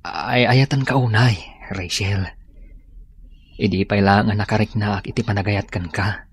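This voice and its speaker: A man's voice: on the low side, fairly even intonation, a little slow, husky, exaggerated voice. A man playing an earnest, lovestruck suitor in a radio drama, tender and pleading. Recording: radio drama with music behind.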